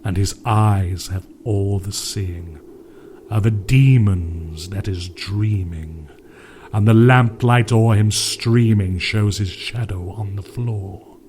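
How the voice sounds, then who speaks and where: A man reciting a poem dramatically, with a theatrical, brooding, drawn-out delivery, as a voice actor would. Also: on the low side, darkish tone, slight background noise.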